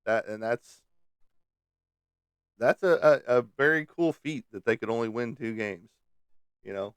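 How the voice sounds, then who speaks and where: A man's voice: mid-range voice, unhurried, smooth, dry recording, clean studio sound. A man hosting a casual chat who teases a viewer about sports and chuckles often as he talks. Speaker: host. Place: at a desk indoors.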